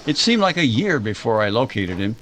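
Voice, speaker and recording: A man's voice: mid-range voice, big pitch swings, fairly quick, fairly bright tone. A man acting as the narrator of a 1940s-style radio drama, recalling his boyhood in a conversational, hesitant way. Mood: urgent reminiscence. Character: man fondly narrating a boyhood memory of a small-town tragedy.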